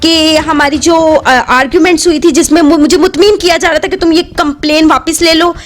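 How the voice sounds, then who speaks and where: A woman's voice: sits high, fairly even intonation, moderate pace. A woman with a Pakistani accent explains her side as an interview guest.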